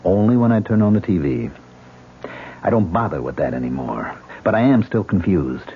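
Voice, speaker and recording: An actor playing a tormented man who hears ghost voices in an old radio drama, agitated and husky. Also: deep voice, moderate pace, exaggerated voice. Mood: tense. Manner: agitated, swinging between calm and outbursts. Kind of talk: 1970s radio drama acting. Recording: muffled lo-fi old radio broadcast.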